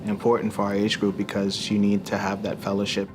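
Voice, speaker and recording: A twenty-nine-year-old man giving a measured, slightly hesitant testimonial, pausing on "um" and "I guess". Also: fairly low pitch, completely flat delivery. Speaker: church young adults group member. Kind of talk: testimonial interview. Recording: music bed underneath.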